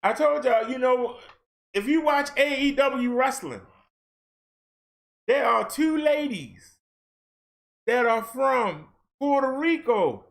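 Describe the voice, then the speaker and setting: A man rants on camera in a casual, colloquial way, pelting listeners with rhetorical questions. His voice sits high for a man and rings bright and forceful. Now and then he breaks into a shout. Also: animated, takes it slowly, vocal fry, long pauses. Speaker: vlogger. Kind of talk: ranting social commentary.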